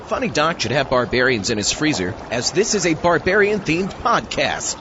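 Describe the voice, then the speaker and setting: Man acting a very animated, reluctant sidekick. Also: a little high, moderate pace, highs cut off, noisy background. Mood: agreeable. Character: reluctant, questioning male sidekick and podcast host. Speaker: host. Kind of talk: scripted comic audio skit.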